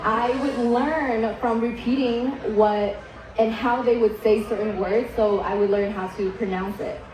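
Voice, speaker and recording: A woman's voice: a little high, fairly even intonation, measured pace, crowd noise. A young woman from Vietnam, whose first language is Vietnamese, giving a keynote speech and telling her story in a candid, casual way with many "likes" and "ums". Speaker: keynote speaker. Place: event hall. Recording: echoey event hall.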